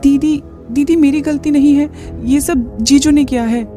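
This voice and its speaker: High-pitched greedy young sister-in-law voiced by a woman. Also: fairly even intonation, moderate pace, dark tone, faint music behind, lightly acted. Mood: pleading. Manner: eager, wheedling, exclaiming.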